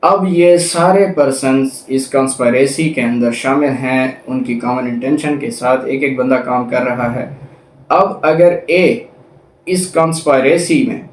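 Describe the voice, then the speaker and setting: A man's voice: mid-range voice, measured pace. A man with a Pakistani accent giving an explanatory law lecture, restating points methodically and mixing in English legal terms. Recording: echoey, reverberant room sound.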